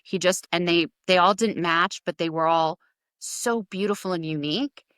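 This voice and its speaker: Woman podcast host, lowish voice, chatty rambling questions, dry sound. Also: normal speed, a bit bright, clear, resonant tone, no echo. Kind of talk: conversational interview.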